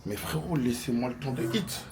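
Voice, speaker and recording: A man's voice: mid-range voice, normal speed. A young man in his twenties with a French accent, vlogging casually in an airport lounge, slangy and chatty, talking straight to his viewers. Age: 20s. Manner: slangy, chatty, addresses viewers as "les gars". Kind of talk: casual vlog talk to camera. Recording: noisy airport ambience.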